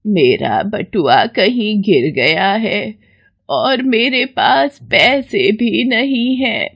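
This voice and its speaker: Frail elderly woman for cartoon moral story, voiced by a woman; gentle, halting, very unhurried delivery. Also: medium pitch, big pitch swings, slightly rough, dry recording, highs cut off, full character voice. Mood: pleading. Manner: slow, halting, gentle pleading. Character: frail, pleading old woman stranded without money.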